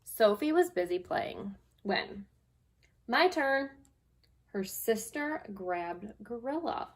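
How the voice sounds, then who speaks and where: A woman's voice: a little high, very animated, takes it slowly. A woman, a teacher, talking chattily and reading expressively to young children as a guest storyteller. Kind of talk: casual talk and picture-book read-aloud for children. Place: basement.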